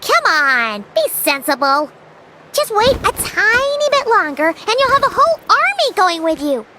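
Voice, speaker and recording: A woman voicing a small, perky, chatty fairy girl companion in a video game, with a squeaky childlike voice and exclamatory manner. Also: very animated, unhurried, heavy background noise, heavily acted. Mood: puzzled, chiding. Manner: exclamatory, speaks of herself in third person. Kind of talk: animated video game character acting.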